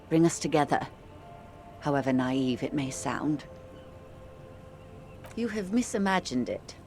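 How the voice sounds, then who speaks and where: A woman playing a worldly, composed older woman in a video game, speaking eloquently in a measured, gently condescending manner. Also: low voice, slight background noise. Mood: gently reassuring. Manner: measured, eloquent, calls the listener dear and darling. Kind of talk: dramatic video game dialogue. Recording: music playing under the voice.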